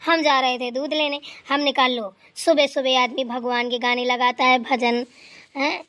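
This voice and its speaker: A woman's voice: high-pitched, steady tone, normal speed, a bit bright. A woman voicing an elderly mother-in-law who rambles through stories and scolds playfully. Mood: chatty. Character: elderly mother-in-law, chatty, teasing, fond.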